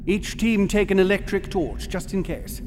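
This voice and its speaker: An actor playing a composed, learned, titled expedition leader in a radio drama, speaking with formal, measured authority. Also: male voice, high voice, a little mellow, natural voice. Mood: commanding calm. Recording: cavernous reverb, faint noise.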